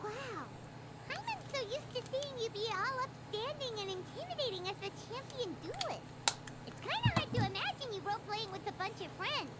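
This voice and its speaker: Squeaky, excitable little fairy. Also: female voice, moderate pace, very noisy. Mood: curious, amazed. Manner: childlike, curious, blunt. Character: tiny, squeaky, childlike floating fairy companion, naive and excitable. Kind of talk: English anime-style video game dub acting.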